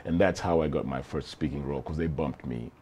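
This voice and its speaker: A middle-aged man from Kenya speaks in a deep, mellow voice. He tells his story loosely and warmly, restarting phrases and chuckling along the way. Faint ambient noise sits beneath the conversation. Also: a little fast. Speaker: actor, interview guest. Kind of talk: casual interview chat.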